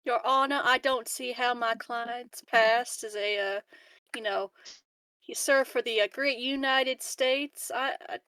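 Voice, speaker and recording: A sleazy, grandiloquent Southern lawyer played by a woman pleads a case in a game session. The drawl is oily and halting, the voice on the higher side, in a dry recording. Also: steady tone, sharp tone, smooth, dry recording, low-quality recording. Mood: oily pleading.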